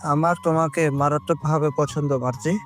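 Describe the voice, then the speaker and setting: A man's voice: fairly high pitch, fairly even intonation, normal speed, lightly acted. A man playing an intense, hot-tempered young man in love in a romantic audio drama. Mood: earnest, lovestruck. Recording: music bed under the voice.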